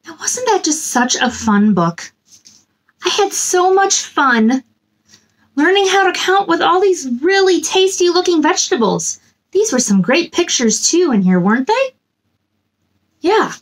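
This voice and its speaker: Woman, 30s, kids' story time host; high, very animated, bubbly read-aloud in a small room. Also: measured pace. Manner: bubbly, sing-song talk to children. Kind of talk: reading a picture book aloud to kids. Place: small decorated room indoors.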